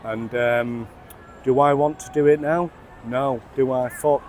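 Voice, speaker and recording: A man's voice: medium pitch, fairly even intonation, measured pace, a little mellow, a little hiss or hum. A man in his forties with a Mancunian accent, tipsy from beer, chatting and swearing freely as he vlogs a pub crawl through the city streets on a handheld phone. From England. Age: 40s. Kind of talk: casual walking vlog. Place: outdoors on city streets and a busy rooftop bar.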